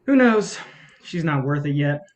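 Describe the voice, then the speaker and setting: Woman playing a low-voiced, cynical male film distributor. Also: expressive, measured pace, a little mellow. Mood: bitter, cutting. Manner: blunt, cocky. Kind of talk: staged play reading.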